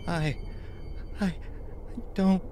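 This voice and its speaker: Shocked male survivor, acted by a male narrator, horror audio drama, hesitant stammer, higher strained pitch, noisy ambience. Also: steady tone, warm tone. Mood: dazed. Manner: halting, stammering.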